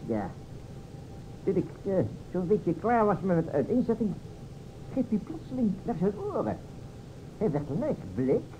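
A man's voice: high-pitched, heavily acted. An actor playing a playful, musing man who improvises scenes for a detective game in an old radio play, speaking hesitantly. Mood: hesitant, unsettled. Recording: dull old radio recording, noisy.

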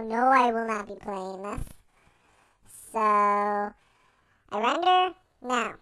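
Chipmunk voice from a young man using a pitch shift effect: bright, squeaky, casual step-by-step tutorial explainer. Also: no room sound, exaggerated voice, poor audio. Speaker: video tutorial host. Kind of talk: screen-recorded software tutorial.

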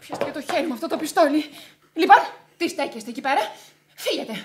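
A woman's voice: sits high, expressive. An actress playing a proud, indignant woman in a radio play, imperious yet wavering, on an old radio recording. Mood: agitated, torn. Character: fiery, indignant, proud woman, torn by attraction. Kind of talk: radio theatre acting.

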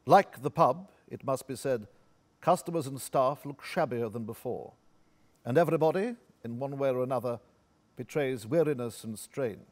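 A man's voice: medium pitch, moderate pace, a little mellow. A man reading scene-setting narration from a script at a staged play reading. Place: theatre stage.

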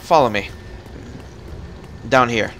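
Man, gamer, instructive, mid-pitched voice, faint background hiss. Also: slow pace, creaky, sharp tone. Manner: instructive, coaching. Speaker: gamer guiding a co-op partner. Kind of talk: casual gameplay chat.

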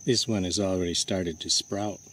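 A man vlogging outdoors under trees, talking in a relaxed, explanatory way to his handheld camera. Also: low-pitched, normal speed. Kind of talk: casual outdoor show-and-tell. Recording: faint insect and cricket noise.

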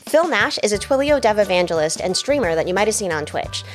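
A woman's voice: medium pitch, very animated, fast talker, loud music behind the voice. A woman, presenting scripted narration as a video host.

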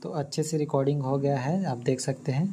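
A man's voice: mid-range voice, brisk, dark tone. A man giving a casual demonstration as a vlogger. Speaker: vlogger demonstrating old electronics.

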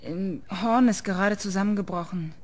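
A woman's voice: mid-range voice, moderate pace, darkish tone, close to an everyday voice. An actress playing a young female journalist who questions people in a matter-of-fact way. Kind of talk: radio drama acting.

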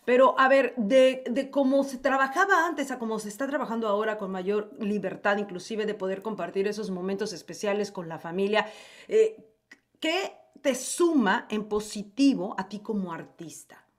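A woman's voice: mid-range voice, moderate pace. A woman hosting an interview show from a studio, asking teasing, playful questions. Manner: playful, teasing, laughs easily. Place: studio with headset-style mic setup. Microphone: studio microphone in front.

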